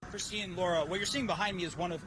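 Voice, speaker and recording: A man's voice: high-pitched, fairly even intonation, fast. A man giving a live news report from a noisy outdoor location.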